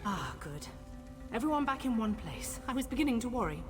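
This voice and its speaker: Casting: female scholar-leader for a fantasy game, articulate, animated pitch, music underneath. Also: a little fast. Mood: relieved. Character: scholarly female expedition leader, composed and concerned. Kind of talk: scripted fantasy game dialogue.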